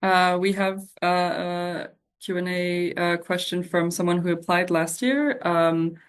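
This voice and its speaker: A woman with a slightly low voice that moves very little in pitch. She answers questions informally as a staff member, hesitating as she goes and offering reassurance. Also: steady tone, unhurried.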